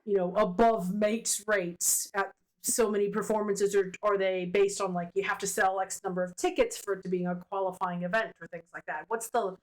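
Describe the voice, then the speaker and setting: A woman's voice: mid-range voice, fairly even intonation, normal speed. A woman hosting a casual livestream interview, playful and effusive, often echoing phrases. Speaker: interview host.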